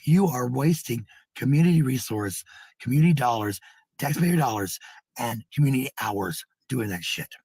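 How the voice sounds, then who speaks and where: This is a man with a markedly raspy voice that breaks into gravelly fry. He delivers an informal, combative rant full of swearing and repeats himself to drive points home. The sound is dry. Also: mid-range voice, animated, moderate pace, darkish tone, no echo. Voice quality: husky, raspy. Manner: combative, profane, repetitive. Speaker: parent speaking in a clip.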